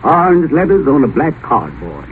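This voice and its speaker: Casting: rich old tycoon, excitable and folksy, swooping delivery; 1950 radio drama, thin noisy sound. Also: male voice, fairly high pitch, normal speed, phone-quality sound. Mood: folksy, restless. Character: rich, volatile old tycoon fearful of death.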